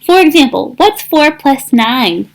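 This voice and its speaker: A pushy little storybook duck girl, played by a woman reading aloud, high-pitched and full of herself. She brags and exclaims, drawing out her words to show off. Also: sing-song, unhurried, gravelly, heavily acted, low-quality recording. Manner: bragging, exclaiming and shouting.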